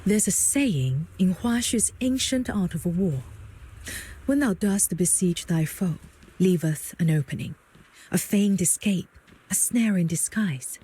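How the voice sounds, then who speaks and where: A woman playing a composed, shrewd proprietress in a video game, with a husky, mellow voice and a poised, sage manner. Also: lowish voice, lively intonation, a little slow, rough recording. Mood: knowing. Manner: poised, sage, gently teasing. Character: composed, worldly, shrewd adult female proprietress. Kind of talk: scripted video game acting.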